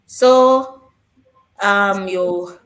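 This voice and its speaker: A woman's voice: medium pitch, extremely slow, echoey room, over music. A woman co-hosting a live stream about teaching jobs, patiently answering viewer questions and repeating the key points.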